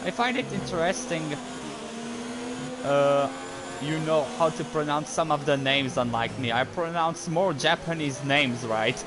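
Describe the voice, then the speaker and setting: A man whose first language is French, casually commenting while playing a racing game, rambling and breaking into sudden outbursts. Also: a little high. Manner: rambling, easily distracted, quick outbursts. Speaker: gaming YouTuber. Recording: gameplay audio with clear engine noise.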